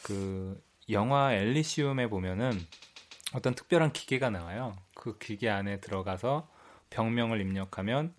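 A man's voice: on the low side, moderate pace, slight background noise. A man hosting a talk show, speaking reflectively and thinking aloud. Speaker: host. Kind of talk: casual podcast talk.

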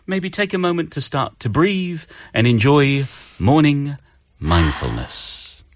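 A man hosting a morning radio show, bantering playfully and reading affirmations slowly and soothingly. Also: medium pitch, very animated, no room sound, clean studio sound.

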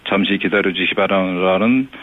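A narrow phone line carries a man's fairly low voice. He speaks as an official answering an interview, formal and polite. His explanations come haltingly, with hesitations as he searches for wording. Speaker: subway operations executive, interview guest. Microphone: telephone.

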